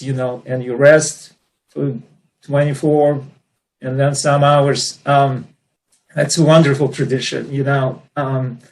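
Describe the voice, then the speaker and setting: A man's voice: mid-range voice, poor audio. Man, earnest. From Soviet Union. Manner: warm and earnest, with many "you know" fillers. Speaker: panelist. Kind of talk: personal storytelling in a panel discussion.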